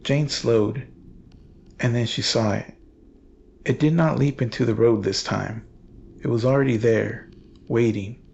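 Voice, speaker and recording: A man narrating a scripted horror story in a solemn, measured, portentous manner with dramatic pauses. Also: medium pitch, vocal fry, darkish tone, breathy edge, dull audio.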